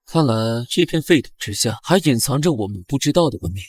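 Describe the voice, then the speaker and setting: Casting: teasing nine-tailed fox man, mid-pitched, confident schemer for animated drama, dry booth sound. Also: fast, husky, no room sound. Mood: grave. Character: sly, teasing nine-tailed fox clan spy, male consort.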